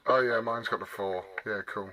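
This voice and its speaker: A man's voice: fairly low pitch, steady tone, moderate pace, bright, forceful tone. A man chatting casually with other operators over CB radio. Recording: distant CB radio with heavy static.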